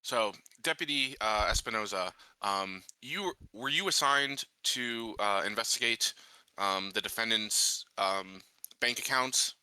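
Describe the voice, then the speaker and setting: Very bright, low-pitched, halting man. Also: clean tone, no echo, low-quality recording. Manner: halting, searching for words. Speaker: tabletop game player. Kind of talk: casual in-game talk, improvised.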